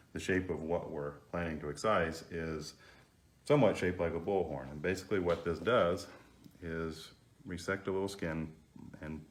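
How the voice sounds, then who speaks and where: A man working as a plastic surgeon, explaining a procedure as he operates in a matter-of-fact, reassuring way. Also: very deep voice. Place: indoor surgical procedure room. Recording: faint background noise in room.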